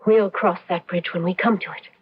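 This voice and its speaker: A woman's voice: lowish voice, fairly even intonation, brisk, breathy edge. Seductive scheming witch, lo-fi. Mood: cool, tempting. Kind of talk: old-time radio drama acting. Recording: dull lo-fi radio drama recording.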